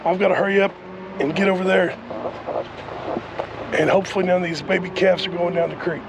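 A man in his thirties who has lost his voice to a cold, talking hoarsely and haltingly to a camera held at arm's length on a rainy farm. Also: sits high, fairly even intonation. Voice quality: hoarse, strained. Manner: plain, halting with fillers. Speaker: farm vlogger. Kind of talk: casual vlog talk to camera. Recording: noisy outdoor recording.

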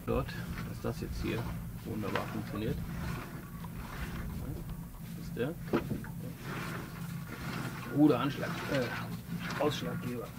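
A man's voice: mid-range voice, slow pace, gravelly, pauses often, very noisy. A man casually explaining the parts he is working on while doing repairs inside a sailboat. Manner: chatty, explains while working with his hands. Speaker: boat owner doing refit work. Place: cramped cabin of a sailboat.

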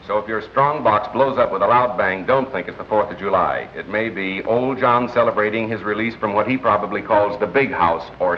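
An actor playing a wry, measured male radio crime newscaster in an old-time drama, with dry wit. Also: on the low side, lightly acted. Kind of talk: old-time crime drama acting with broadcast announcer delivery. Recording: dull, noisy old film-era soundtrack.

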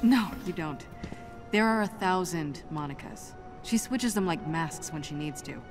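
An actress playing a seasoned spy in a video game, cool, blunt and commanding, with terse delivery. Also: female voice, lowish voice, expressive, measured pace, music in the background. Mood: firm, blunt. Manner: terse, no-nonsense. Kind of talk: video game cutscene acting.

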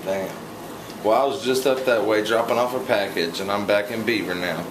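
A young man making a prank phone call from a home kitchen at night, talking casually into a phone held close, teasing and smooth-talking with plenty of slang. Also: fairly low pitch, normal speed. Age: young adult. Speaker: prank caller. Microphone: phone held close to mouth, camera close by. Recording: noisy home recording.

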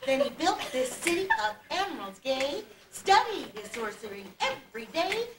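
A woman's voice: sits high, sing-song, normal speed. A woman playing an exuberant, silly patchwork doll in a puppet show, eager and sing-song. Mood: gleeful. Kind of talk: amateur puppet-show singing and acting. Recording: distant echoey home video, loud noise.

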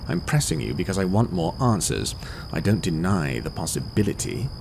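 Brooding detective, low voice, very animated delivery, pompous theatrical diction, audio drama. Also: male voice, normal speed, gravelly, noisy background, exaggerated voice. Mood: stern, impatient. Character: haughty, brooding male detective investigating a village murder. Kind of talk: dramatic audio novel narration and dialogue.

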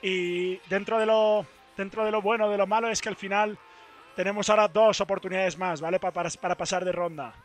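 A hoarse young man who has lost most of his voice, chatting excitedly to his stream on a headset mic in a studio booth. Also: high-pitched, fairly quick, faint music behind. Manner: excited, repetitive. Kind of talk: casual stream chat.

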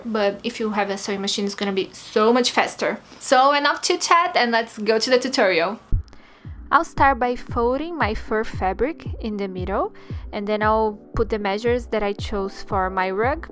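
A young woman from Brazil with a Brazilian Portuguese accent, talking through a sewing tutorial in her second language with chatty, slightly halting phrasing. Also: fairly high pitch, a bit bright. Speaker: sewing channel host. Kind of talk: friendly sewing tutorial talk and voiceover.

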